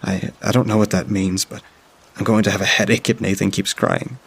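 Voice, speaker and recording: A frightened young child pours out diary entries in a low, gravelly, husky voice, stumbling over fillers and restarting sentences, as a man reads the part. The delivery stays flat and subdued, barely rising or falling. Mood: hushed, halting. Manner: halting, with frequent filler sounds. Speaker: storyteller. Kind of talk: first-person diary reading.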